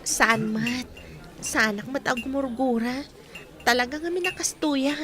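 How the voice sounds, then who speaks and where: A woman's voice: high-pitched, unhurried, bright, forceful tone. An actress playing a shy, reserved young woman in a radio drama. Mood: reluctant.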